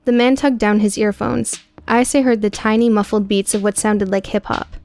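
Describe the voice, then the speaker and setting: A woman reading scripted audiobook prose in a measured, dramatic storytelling manner. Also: medium pitch, level tone, a little mellow, no room sound. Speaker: audiobook narrator.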